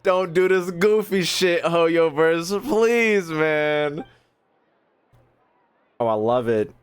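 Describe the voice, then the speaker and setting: A man doing casual, slangy gameplay commentary, expressive and given to mock-indignant rants. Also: a little high, extremely slow, smooth. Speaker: streamer playing and reacting to a video game.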